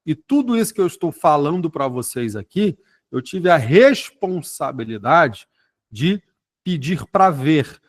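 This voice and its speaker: A man's voice: fairly high pitch, big pitch swings, unhurried. Chatty man in his forties. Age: 40s. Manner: conversational, with frequent "né?" and "tá?" tag questions; repeats words and restarts often. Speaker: network marketing leader. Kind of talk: informal explanatory talk to a sales network.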